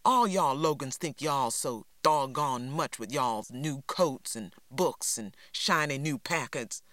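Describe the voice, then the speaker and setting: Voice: medium pitch, slow, a bit bright, no room sound. A reader voicing a resentful teenage boy, complaining in a sullen rural drawl. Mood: sulky.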